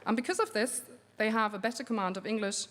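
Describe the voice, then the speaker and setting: A woman's voice: on the high side, expressive, fairly bright tone. Woman lecturing in a reverberant hall. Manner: measured, reads findings with frequent um fillers. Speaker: linguistics researcher giving a plenary talk. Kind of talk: academic conference lecture.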